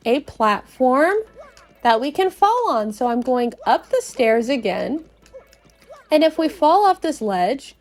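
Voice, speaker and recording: A woman podcast host narrating a video game as a dramatic reading, in an expressive, theatrical way. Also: high-pitched.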